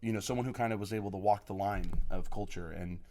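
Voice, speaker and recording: Guy from oklahoma on a podcast, lowish voice, gushy and excited, lots of ums. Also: fast talker, a little hiss or hum. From Oklahoma, USA. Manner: enthusiastic, effusive, many fillers. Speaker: podcast guest, college program lead. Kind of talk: podcast interview, personal storytelling.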